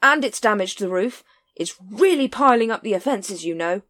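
A woman's voice: mid-range voice, expressive, normal speed, fairly bright tone, voice pushed up, close to an everyday voice. A woman voicing an earnest, dutiful young watchman who goes by the rules. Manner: earnest, rule-minded.

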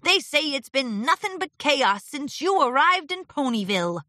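A woman's voice: high voice, very animated, normal speed, bright, forceful tone, no room sound, studio quality, pitched above the performer's own voice, exaggerated voice. A woman voicing an aggrieved male pony businessman, smug and insistent in his grumbling.

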